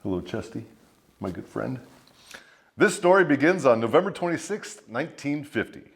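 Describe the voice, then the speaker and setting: A man's voice: medium pitch, sing-song, normal speed, creaky, slightly rough. A man hosting a military storytelling show, delivering dramatic scripted tales with crude, swaggering, profane humour. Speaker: host of a military storytelling show, a first sergeant.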